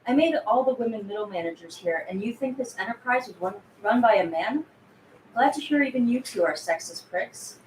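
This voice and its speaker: A woman's voice: fairly high pitch, expressive, fairly quick, a little mellow, close to an everyday voice. A sardonic, shrewd female boss of an underground crew, acted by a woman at a table read. She is hard-edged and foul-mouthed, always angling for leverage. The room is echoey with noticeable noise. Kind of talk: table read of a film script.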